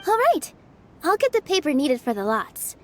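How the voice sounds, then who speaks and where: A woman voicing a gentle, polite young woman in a video game, hesitant and tactful. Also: high-pitched, sing-song, normal speed, slight background noise. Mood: helpful. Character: gentle young woman, polite, shy, soft-hearted helper.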